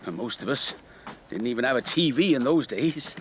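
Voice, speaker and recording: A man's voice: mid-range voice, lively intonation, normal speed, a little hiss or hum, close to an everyday voice. An actor playing a wistful middle-aged British working man, a folksy rambling storyteller in a naturalistic radio drama. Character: wistful middle-aged local factory worker, old family friend.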